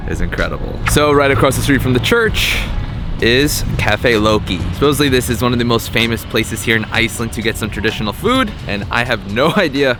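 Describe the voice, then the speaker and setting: A man's voice: mid-range voice, lively intonation, a little fast. A young man narrating a casual travel vlog in an upbeat, chatty way, with light jokes. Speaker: travel vlogger and interviewer. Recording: background music under voice.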